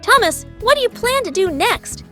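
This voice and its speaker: A woman acting a practical, playful young female friend in a suspense visual novel. Her voice is extremely high and bright, with lively swings in pitch, over faint music. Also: moderate pace, exaggerated voice. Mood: urgent, practical. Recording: music behind the voice.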